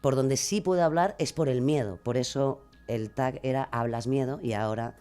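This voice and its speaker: A woman with a Castilian Spanish accent chatting casually as a podcaster guest in a radio interview, expressive, rambling and self-deprecating. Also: extremely low pitch, normal speed, faint music behind. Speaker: guest, true-crime podcaster.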